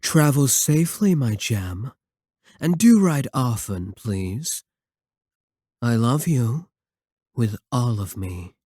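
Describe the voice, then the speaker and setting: Casting: male actor as vampire king, courtly and devoted, unhurried, husky, romantic ASMR roleplay. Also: medium pitch, big pitch swings, no echo, studio quality. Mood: solemn, loving. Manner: measured, formal, gently intimate.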